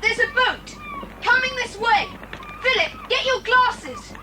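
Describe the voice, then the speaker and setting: A plucky British boy speaks in a very high, excitable swoop. The children's adventure drama has long reverb. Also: takes it slowly, exaggerated voice. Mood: alert, excited. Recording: hissy old cassette with long reverb.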